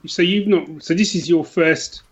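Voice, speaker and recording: Higher-voiced man on dull lo-fi audio. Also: a little slow, husky. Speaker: podcast guest, author. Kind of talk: casual conversational book chat.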